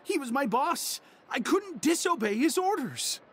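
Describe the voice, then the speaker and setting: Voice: high-pitched, big pitch swings, measured pace, a bit bright, a little hiss or hum, full character voice. An actor playing a nervous, cowed young male underling in a video game, hesitant and stammering. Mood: nervous, defensive. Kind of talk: video game character acting.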